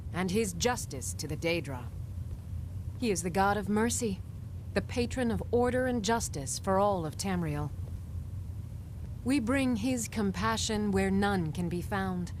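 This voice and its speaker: A woman plays a righteous, unbending keeper of a monster-hunting order in a fantasy game. The delivery is formal and preachy at a middling pitch. Noticeable ambience noise sits behind it. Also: darkish tone, natural voice. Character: stern, zealous female monster-hunting holy order leader. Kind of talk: fantasy video game dialogue. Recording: game capture with clear background noise.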